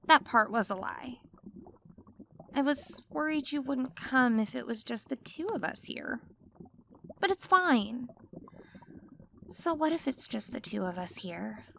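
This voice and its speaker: A woman playing an obsessive, possessive mermaid who lures a pirate down to her undersea palace, speaking in a slow, coaxing, intimate ASMR roleplay. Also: a little high, creaky, fairly bright tone, slight background noise, full character voice. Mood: tender. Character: possessive, obsessive female mermaid queen, young adult.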